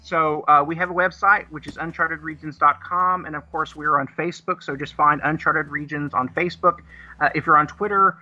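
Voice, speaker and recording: Bright-voiced man, halting, on a lo-fi connection. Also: on the high side, fairly even intonation. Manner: halting, repeats and rephrases as he explains. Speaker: radio guest, audio drama producer. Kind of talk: casual live interview. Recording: dull, lo-fi remote connection.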